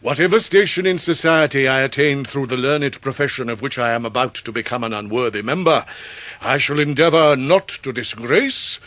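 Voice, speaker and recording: An English actor in a period radio drama, playing a pompous, grandiloquent middle-aged gentleman who is forever in debt, with florid, deliberate delivery. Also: mid-range voice, no echo, lightly acted. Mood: grand, convivial. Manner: florid, orotund, deliberate pauses.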